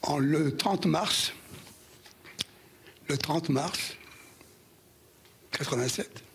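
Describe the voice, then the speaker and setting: A hoarse older man giving a speech to an audience, halting and repeating words as he recalls past events. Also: a little high, a bit bright, a little hiss or hum. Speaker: former union president giving an anniversary speech.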